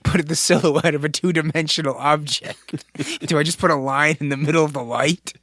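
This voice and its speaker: A man's voice: on the high side, steady tone, normal speed, fairly bright tone, dry recording, studio quality. A man trading wry, joking banter on a casual comedy podcast. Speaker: podcast co-host or guest.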